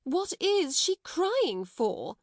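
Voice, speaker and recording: A woman voicing a severe, stately schoolmistress with a sharp manner in a storybook reading. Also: very high voice, very animated, drawn-out words, no room sound, studio quality, voice pushed up, heavily acted. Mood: angry, yelling. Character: stern, severe, stately schoolmistress, quick to anger.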